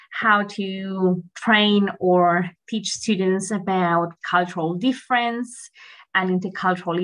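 A woman's voice: medium pitch, a bit bright, low-quality recording. A woman from Chile in her thirties giving a friendly, chatty guest lecture over a video call, with frequent ums. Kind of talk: online academic presentation.

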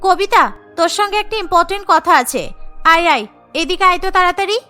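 A woman voicing a kind-hearted young rich bride in a cartoon, who speaks warmly and stands firm for her friend. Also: very high voice, brisk, soft background music. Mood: excited. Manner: warm, firm when defending friend.

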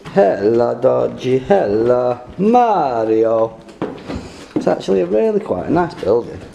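Gravelly man exploring. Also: mid-range voice, very animated, slow pace, reverberant. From England. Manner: jokey, rambling, swears casually. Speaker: urban explorer vlogger. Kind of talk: casual handheld exploring commentary. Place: inside a derelict house. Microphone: handheld camera mic. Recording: noisy camera audio.

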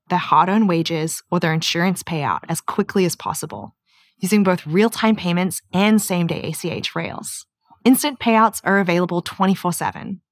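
A woman in her thirties from New Zealand with a softened accent, giving a clear, upbeat scripted keynote presentation. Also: on the low side, no room sound, studio quality. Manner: clear, steady, upbeat delivery. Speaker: product manager presenting. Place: studio set with curtains.

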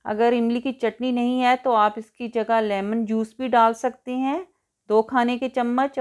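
A woman hosting a cooking tutorial in voice-over, explaining each step in an instructional way. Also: a little high. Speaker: cooking show host.

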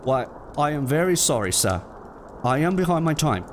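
An actor playing a meek, apologetic office clerk, deferential and nervous, in a theatrical audio drama. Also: male voice, fairly high pitch, sing-song, normal speed, slight background noise.

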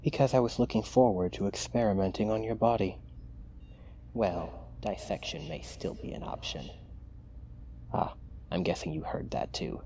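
A man playing a cold, menacing, possessive mad scientist in an ASMR roleplay, clinical and taunting in a hushed way. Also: on the low side, lively intonation, moderate pace, gravelly, long pauses, a little hiss or hum. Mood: possessive, sinister. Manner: clinical dictation mixed with hushed, taunting asides.

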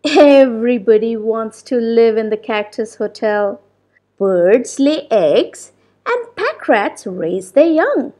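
A woman narrating a children's storybook aloud, with deliberate, expressive phrasing and drawn-out words. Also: on the high side.

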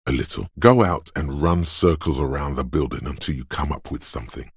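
Endurance, an extremely deep, gravelly inner voice. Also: male voice, dry recording, clean studio sound, full character voice. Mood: gruff, dry. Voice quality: gravelly, husky bass. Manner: deliberate, portentous, commanding. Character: Endurance, grave inner voice of a battered detective's body. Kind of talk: solemn dramatic game narration.

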